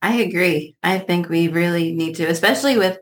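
A woman's voice: on the low side, fairly quick. A woman interviewer speaking conversationally, agreeable and affirming toward her guest.

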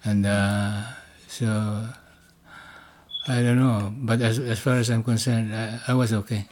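A man in his eighties from the Philippines with a Filipino accent, reminiscing casually and pausing to search for words. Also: lowish voice, flat delivery, a little mellow, slight background noise. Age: 80s. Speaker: interview guest, grandfather.

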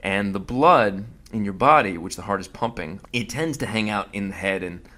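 A man's voice: fairly low pitch, animated, moderate pace, bright, forceful tone. A man hosting a podcast, narrating his visit in a casual, conversational way with fillers and restarts. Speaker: host.

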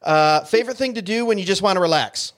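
A podcast host running a quick-fire question segment, enthusiastic and quick with affirmations like "love it". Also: medium pitch, animated. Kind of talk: upbeat rapid-fire interview questions.

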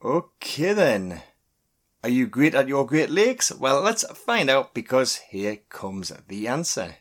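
A man's voice: medium pitch, sing-song. A man hosting a podcast quiz, reading questions and answers in a scripted, upbeat and encouraging way. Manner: upbeat, encouraging, reads clues and answers slowly and clearly. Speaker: quiz host.

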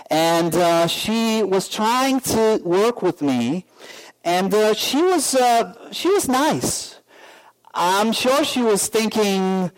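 A pastor preaching a sermon, telling a personal story in a slow, earnest way with many pauses and fillers. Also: male voice, high voice, rough recording.

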